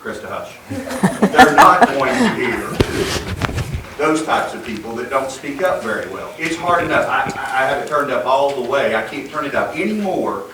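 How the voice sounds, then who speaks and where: A man speaking loudly and bluntly at a school board meeting, recorded from a distance in a room. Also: mid-range voice, sing-song, normal speed, crowd noise. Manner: loud, emphatic, blunt. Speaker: staff member handling the meeting's live stream. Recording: far from the mic in an echoey room with background noise.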